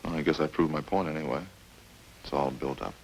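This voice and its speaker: Very deep, gravelly remorseless killer. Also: male voice, slight background noise, low-quality recording. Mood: resigned. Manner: flat, casual, unhurried confessing. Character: matter-of-fact, remorseless male drifter confessing to killings. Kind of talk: old-time radio crime drama acting. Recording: old radio recording with music behind.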